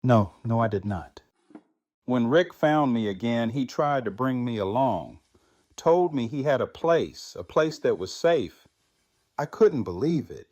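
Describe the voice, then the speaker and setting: A man playing a grieving, reflective widowed survivor in an audio drama, speaking in a halting, subdued manner. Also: mid-range voice, lively intonation, darkish tone, dry recording, natural voice. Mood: reflective storytelling. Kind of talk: fan audio drama acting.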